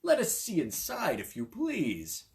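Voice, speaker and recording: A man's voice: a little high, huge pitch swings, a little slow, darkish tone, voice pushed up, exaggerated voice. A man voicing an eager, polite king who is easily impressed in a storybook tale. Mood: impressed surprise.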